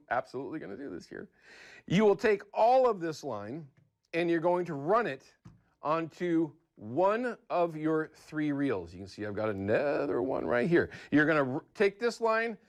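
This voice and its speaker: A man's voice: fairly high pitch, very animated, moderate pace. An American man hosting a casual how-to TV segment in a chatty, folksy, conversational way. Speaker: TV show host.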